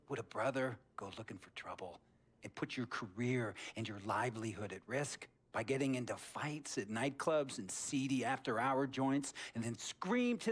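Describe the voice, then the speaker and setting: An actor playing an eccentric, wealthy, middle-aged boss in a video game cutscene, lecturing in a theatrical storytelling manner that swings between hushed and emphatic. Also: male voice, on the high side. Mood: stern, mocking. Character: eccentric wealthy sports boss, preachy, slick, controlling man. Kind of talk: dramatic game cutscene monologue.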